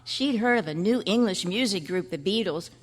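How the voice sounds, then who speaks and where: A woman's voice: medium pitch, expressive, moderate pace, close to an everyday voice. An earnest young boy acted by a woman reminisces in chatty kid slang, in the manner of an old radio play. The voice rings through a large hall with long reverb. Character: earnest, nostalgic fourth-grade boy. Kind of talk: old-time radio style stage acting. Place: large indoor room at a convention. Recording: echoey hall at a club meeting.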